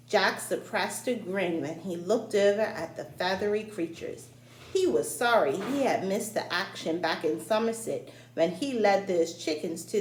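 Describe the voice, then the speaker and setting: A woman reading a folktale aloud for children in an expressive way, addressing her young listeners directly. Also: mid-range voice. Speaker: story reader, teacher-like host. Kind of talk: read-aloud storytelling for children. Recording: roomy echo, faint background noise.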